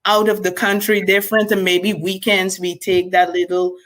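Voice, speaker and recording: A woman's voice: mid-range voice, flat delivery, normal speed, fairly bright tone. A woman from Guyana with a Guyanese accent, chatting casually and reflectively as an interview guest.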